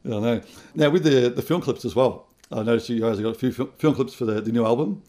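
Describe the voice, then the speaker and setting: A man's voice: mid-range voice, quick pace, warm tone. Man interviewer asking casual questions. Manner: friendly, brief affirmations, laughs along.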